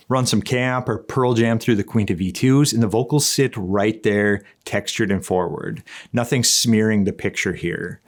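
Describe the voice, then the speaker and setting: Low-voiced man presenting a product review. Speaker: audio equipment reviewer. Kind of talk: conversational scripted review narration.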